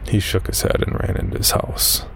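A man with a gravelly voice reading a first-person horror story in a subdued, measured way. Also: a bit bright, a little hiss or hum.

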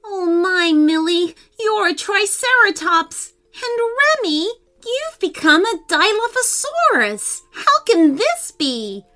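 An earnest young boy prince, played by a woman in a children's storybook. The voice is high, bright and excitable, full of wide-eyed exclamations. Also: a little slow, exaggerated voice. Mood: astonished.

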